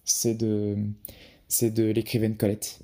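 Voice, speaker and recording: A man's voice: fairly low pitch, completely flat delivery, takes it slowly, mellow. A young man answering interview questions in a casual, hesitant way, with frequent restarts and fillers. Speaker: podcast guest, photographer.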